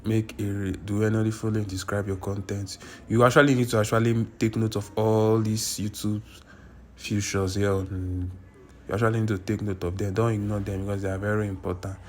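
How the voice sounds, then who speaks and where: Guy doing a youtube how-to, lowish monotone voice, keeps repeating himself, bit of hiss behind. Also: a little fast. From Nigeria. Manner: repetitive, insistent, self-correcting. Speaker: YouTube tutorial creator. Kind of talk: screen-recorded tutorial. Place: indoors at a computer.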